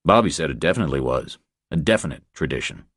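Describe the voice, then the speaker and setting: A man's voice: extremely low pitch, fast talker, no echo, studio quality, heavily acted. A man voicing a cool, laconic drifter investigator with a terse, dryly wry delivery in a crime audiobook. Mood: dry challenge. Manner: deadpan, terse.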